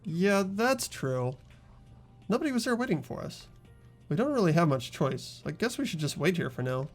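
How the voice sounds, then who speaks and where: A man's voice: high voice, sing-song, moderate pace, faint music behind. A man voicing an earnest, hesitant, agreeable teenage boy in a video game. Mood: resigned. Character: polite, agreeable, unsure teenage boy protagonist.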